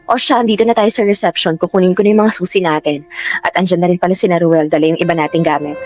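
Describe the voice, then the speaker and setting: An actress playing a guarded, sensitive young Filipino woman in a radio drama, emphatic and quick to scold. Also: lowish voice, darkish tone, close to an everyday voice. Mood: friendly, cautioning. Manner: emphatic, nagging. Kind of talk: Tagalog radio drama acting. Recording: music bed under dialogue.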